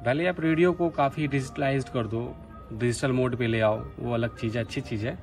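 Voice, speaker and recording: A man's voice: medium pitch, fairly even intonation, a little fast. A man from Bihar, India, talking casually and earnestly as a radio hobbyist making a vlog. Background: music from a radio.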